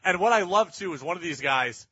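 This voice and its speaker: A man's voice: high voice, animated, a little fast, pushed and bright, breathy edge, dry recording, lo-fi sound. A man hosting sports talk radio, delivering opinionated monologues in an exasperated, emphatic, repetitive way.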